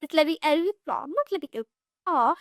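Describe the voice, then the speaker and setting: A young woman with an Indian accent leads a revision lesson for students. Her voice sits high and bright, recorded dry and close with no room sound. She explains in a chatty, conversational way, mixing languages and leaning on fillers. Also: expressive, moderate pace, dry recording. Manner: chatty code-switching explainer with many "you know" fillers. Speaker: English teacher.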